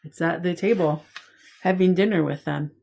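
A woman in her forties reading a picture book aloud to young children as a preschool teacher, with simple, expressive phrasing. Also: fairly low pitch, normal speed, vocal fry, husky.

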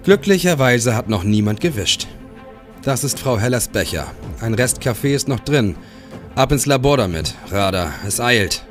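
A man's voice: mid-range voice, animated, moderate pace, soft background music, natural voice. A man in a crime radio play, playing a driven, probing police detective who also narrates his case. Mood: focused, suspicious. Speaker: radio drama actor. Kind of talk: German crime radio play acting, with first-person narration.

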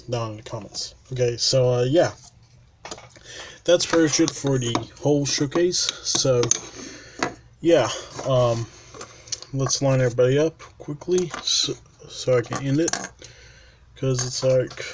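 A man casually showing his custom toy figures, halting with fillers and restarts, sniffling with a dry mouth, recorded on a camera mic at his desk. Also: mid-range voice, darkish tone, a little hiss or hum. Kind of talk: casual showcase narration.

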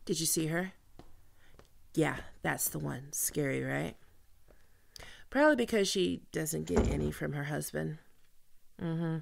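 A woman playing a flustered, flirty team leader in an ASMR roleplay, speaking haltingly and intimately to the listener with heavy vocal fry. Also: sits low, a little hiss or hum, heavily acted. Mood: awkward, confiding. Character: flustered, flirty female team leader falling for a new coworker. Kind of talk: ASMR roleplay audio drama, one-sided conversation.

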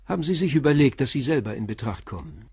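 An actor playing a persistent, probing police inspector in a crime radio play, questioning in a terse, insistent way. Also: male voice, mid-range voice, animated, fast, darkish tone, slightly rough, dry recording, clean studio sound. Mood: pressing.

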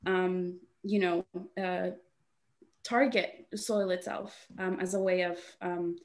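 A young woman from Honduras speaks on a video call, her voice low and level with little rise and fall. She talks haltingly, circling back with fillers and restarts as she thinks aloud. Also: steady tone. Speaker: guest artist. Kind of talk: casual webinar talk.

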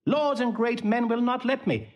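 An actor playing an impudent, riddling court jester in a Shakespearean audio drama, with a playful, mocking delivery. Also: male voice, very high-pitched, animated, moderate pace, dull audio. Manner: mocking, sing-song, playful.

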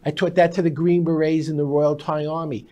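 High-pitched man teaching emphatically. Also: little pitch movement, a little fast, mellow. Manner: emphatic, instructive, short declarative sentences. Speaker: guest expert, speed reading teacher. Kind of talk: explanatory interview talk. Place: TV-style studio at a glass desk. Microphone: lapel mic.

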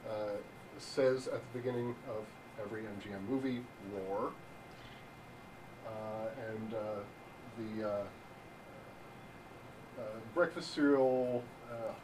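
Deadpan young male presenter, halting and slow, caught from across a function room. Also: mid-range voice. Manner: deadpan, halting with many ums. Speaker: improv presenter. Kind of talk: improvised mock lecture. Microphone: far room camera. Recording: distant voice with room hiss.

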